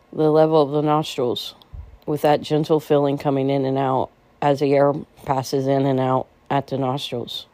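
A woman leading a guided meditation in a gentle, soothing way, with unhurried phrasing and pauses. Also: sits low, no room sound.

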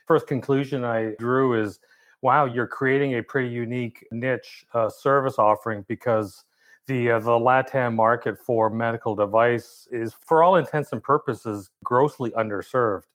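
A male guest responds in relaxed conversation, often pausing with fillers as he gathers his thoughts. His pitch sits in the middle of the male range. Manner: thinking aloud with frequent "uh" fillers. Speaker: interview guest. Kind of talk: casual conversation.

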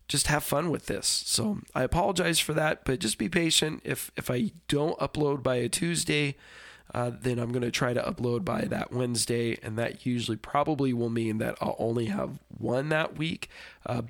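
A man's voice: medium pitch, moderate pace, vocal fry, a bit bright, dry recording, clean studio sound. A man with a stuffy, congested voice, hosting his own podcast in a rambling, apologetic way with plenty of fillers. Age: adult with a daughter. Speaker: podcast host. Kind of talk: casual solo podcast talk.

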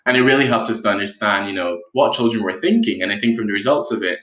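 A young man from the Caribbean speaks in a bright, forceful tone, pausing on ums as he works through his points. His voice sits a little low and rings in a roomy, echoing space. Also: expressive, lo-fi sound. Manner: thoughtful, many ums and restarts. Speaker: youth health advocate. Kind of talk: conversational interview answers. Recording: roomy echo.

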